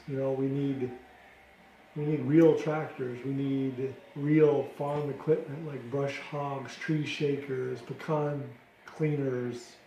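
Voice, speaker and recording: Middle-aged American man, mellow, slightly echoey room. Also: mid-range voice. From Detroit, United States. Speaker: interviewee, ministry founder. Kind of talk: informal interview answers. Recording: roomy echo with clear background noise.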